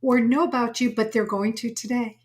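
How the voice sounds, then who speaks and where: A woman hosts an interview, sounding eager as she stumbles over her words. Her voice sits somewhat high for a woman. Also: steady tone, normal speed. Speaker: host.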